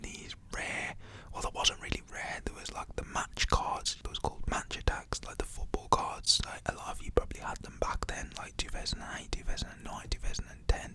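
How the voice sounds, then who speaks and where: A young man, whispering a rambling personal story to the camera with lots of "like" fillers. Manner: whispers throughout. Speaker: storytelling vlogger.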